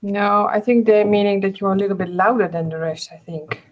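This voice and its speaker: A woman's voice: mid-range voice, steady tone, fairly quick, lo-fi sound. A woman hosting a casual craft live stream over a video call, asking friendly questions.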